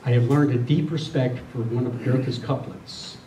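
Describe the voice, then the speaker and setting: A man gives a reflective spiritual talk in a soft, mellow voice of medium pitch. He is earnest and deliberate, circling back over his phrases. The sound is echoey and picked up from some distance. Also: fairly even intonation. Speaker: spiritual speaker. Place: room with a listening group. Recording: roomy, distant mic, faint noise.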